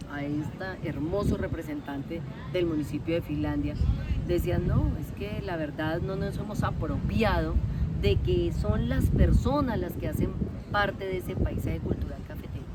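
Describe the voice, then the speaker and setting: A woman's voice: fairly low pitch, normal speed. A woman, interviewing people casually on location. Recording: outdoor street with loud traffic noise.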